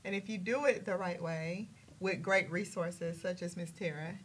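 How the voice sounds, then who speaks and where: A woman's voice: lowish voice, moderate pace, slightly rough. A woman hosting a talk radio show, chatting with her guest and agreeing often. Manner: affirming, chatty, frequent agreement. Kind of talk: casual radio interview.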